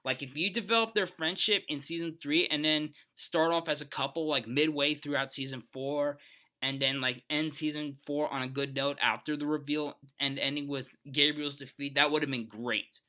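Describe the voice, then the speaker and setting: A man with a very bright, forceful voice sits a little high in pitch. He hosts a video, talking off the cuff in a rambling, opinionated way. His delivery is casual but emphatic. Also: steady tone, moderate pace. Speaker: video host. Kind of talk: casual unscripted ranking commentary.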